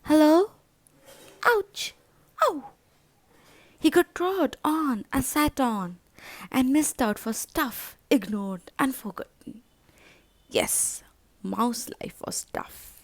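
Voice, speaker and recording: High-voiced woman reading a children's story, expressively. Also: takes it slowly, vocal fry, no room sound. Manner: dramatic, expressive. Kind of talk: read-aloud children's story narration.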